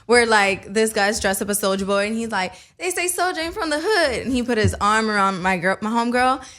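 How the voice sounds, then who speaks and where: A woman's voice: a little high, animated, brisk, a bit bright. Young woman guest, raised in Miami, casual, blunt, playful. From Cuba, raised in Miami. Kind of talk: casual interview chat.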